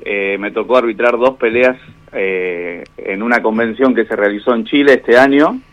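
A man with an Argentine Spanish accent, a boxing referee speaking as a guest over the phone on a radio show, measured and hesitant with many fillers. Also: medium pitch, fairly bright tone, muffled recording. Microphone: phone line.

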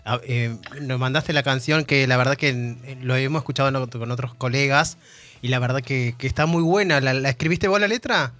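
A man's voice: medium pitch, a little fast, a bit bright. A radio host from Argentina with an Argentine accent, hosting a casual interview and often stumbling over his words. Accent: Argentine Spanish.

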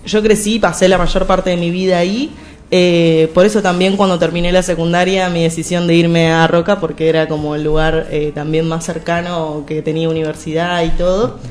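A woman's voice: on the low side, flat delivery, moderate pace, a little mellow. A young woman from Argentina with an Argentine accent, a singer chatting as a radio guest and rambling with restarts and fillers. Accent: Argentine Spanish. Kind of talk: casual radio interview.

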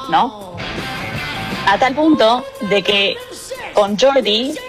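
A woman with a Rioplatense Spanish accent, a radio music columnist telling a story in casual chat, animated and quick to laugh. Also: mid-range voice. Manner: lively, animated storyteller who laughs often.

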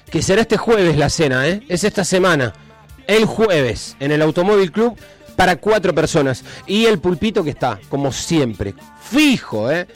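A man with a Rioplatense accent hosting a live radio call-in show, joking and excitable as he reads listener messages. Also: sits high. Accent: Rioplatense Spanish. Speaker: radio host.

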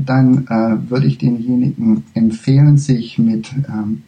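A man whose first language is English wraps up a spiritual lecture in German. His voice is very dark and mellow. He speaks haltingly, restarting phrases as he hunts for the right word. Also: mid-range voice, low-quality recording. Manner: halting, searching for words, many restarts.

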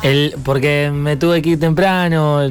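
A man's voice: a little high, steady tone, measured pace, clean tone. A man with an Argentine Rioplatense accent, chatting casually as co-host of a live radio show. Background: faint music.

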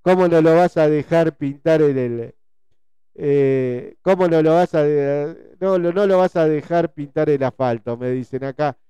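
A man's voice: a little high, a little slow, warm tone, clean tone, no room sound, highs cut off. A man in his forties with a Rioplatense Spanish accent, chatting casually as a host with his audience in a repetitive, conversational way. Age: 40s. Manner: repetitive, conversational, often seeking agreement with tag questions. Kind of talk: casual live chat, reading listener messages.

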